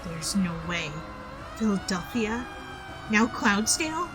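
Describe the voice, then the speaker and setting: A woman's voice: mid-range voice, big pitch swings, takes it slowly, noisy background. A woman playing a frightened young female pony police officer in a dramatized fan fiction audio drama. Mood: shocked disbelief.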